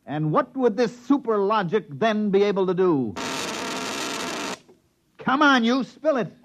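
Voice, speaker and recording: A man's voice: sits high, sing-song. An actor playing a harried, scheming repairman, cajoling in slangy patter in a 1950s radio comedy-drama. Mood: coaxing. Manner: fast-talking, cajoling, slangy. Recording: muffled vintage radio recording, faint noise.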